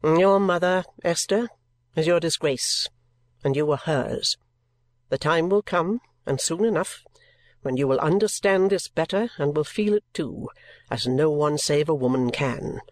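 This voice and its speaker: A reader voicing a stern, bitter woman godmother who speaks slowly in a cold, low voice. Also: dry recording, lightly acted. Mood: coldly stern. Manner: slow, grave, unforgiving.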